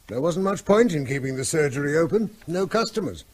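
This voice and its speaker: A reserved, weary middle-aged vet in an old radio drama. His voice is husky and sits fairly high, with a lively lilt. He talks in a subdued way, avoiding trouble. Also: brisk. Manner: subdued, evasive. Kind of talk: 1970s radio drama acting.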